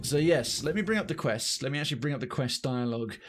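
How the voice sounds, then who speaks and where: A man's voice: mid-range voice, animated, fast talker, faint music behind. A man hosting a game livestream, chatting casually with his viewers and repeating phrases for emphasis.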